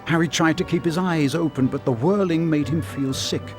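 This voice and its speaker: A man's voice: a little high, normal speed. Man narrating a storybook over music. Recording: music under the voice.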